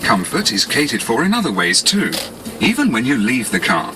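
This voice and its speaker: A man's voice: mid-range voice, huge pitch swings, normal speed. A British man reading scripted 1980s promotional narration in a polished, explanatory salesman's manner, on a cassette tape. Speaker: advertising narrator. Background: music.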